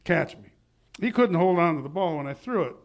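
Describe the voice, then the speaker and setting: An actor playing a boastful, indignant husband who blusters pompously in an old-time radio comedy. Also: male voice, fairly high pitch, animated, brisk. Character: boastful, indignant husband and would-be baseball pitcher.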